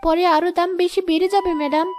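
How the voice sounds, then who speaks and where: A woman's voice: very high-pitched, fairly even intonation, racing, music in the background. A woman voicing a poor, anxious housemaid and mother in a cartoon drama, plaintive and pleading.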